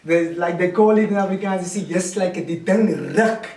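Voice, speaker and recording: A man in his late twenties with a Cape Town South African accent, giving a personal testimony in a preaching, storytelling way, in deliberate phrases with repetition. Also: high voice, a little mellow. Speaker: guest speaker giving testimony. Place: indoor room with small seated audience. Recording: roomy, distant camera mic with noise.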